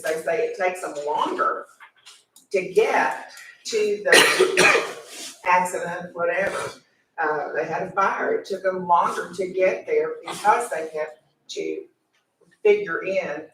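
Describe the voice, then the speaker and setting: A woman's voice: fairly low pitch, fairly bright tone, a little hiss or hum. Chatty woman in an echoey far-off room. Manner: rambling, chatty, speaks seated. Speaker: resident speaking at public comment. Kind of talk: conversational public comment. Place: county commissioners court meeting room.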